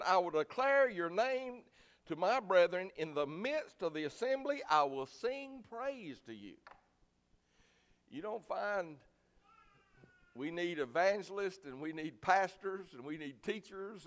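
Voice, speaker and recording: A man preaching a sermon in a folksy, plainspoken, emphatic way, with a loud voice. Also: sits high. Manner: folksy, plainspoken, emphatic, swinging from quiet asides to loud exhortation. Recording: reverberant hall.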